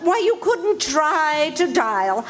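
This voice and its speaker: A woman acting a high-strung, imperious wealthy lady in a live radio play, urgent and breathless. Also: big pitch swings, slow, fairly bright tone, some chatter behind, a little hiss or hum, heavily acted. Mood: indignant. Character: anxious demanding wealthy woman, invalid. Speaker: radio drama actor. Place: RV resort hall before a live audience.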